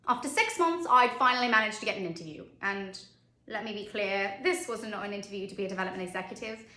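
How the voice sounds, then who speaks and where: A woman's voice: medium pitch, quick pace, bright, forceful tone, voice pushed down, natural voice. A woman performing a dramatic monologue as a driven, candid, haunted mother, speaking emphatically with theatrical swings in volume. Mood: wry, confiding. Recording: roomy echoing sound.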